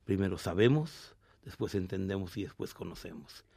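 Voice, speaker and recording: A man, a rabbi from Argentina with a Mexican Spanish accent, answering interview questions in a measured, explanatory way with some restarts. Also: deep voice, expressive, creaky, mellow, husky, no room sound, clean studio sound.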